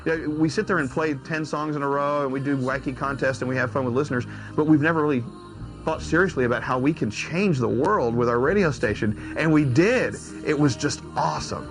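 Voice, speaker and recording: A man's voice: fairly high pitch, fairly quick. A man from a music radio station, reflecting casually in an interview.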